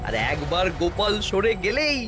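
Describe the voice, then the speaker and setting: A woman's voice: medium pitch, big pitch swings, normal speed, music in the background, lightly acted. A woman voicing a crafty, plotting husband in a cartoon, with a sly, conspiratorial manner. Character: crafty plotting husband scheming against a rival. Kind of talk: cartoon voice acting.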